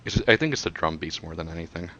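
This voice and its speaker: Deep-voiced, fast, animated man explaining things. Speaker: podcast co-host. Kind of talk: casual chat, retrospective commentary. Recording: slightly dull, lo-fi microphone sound.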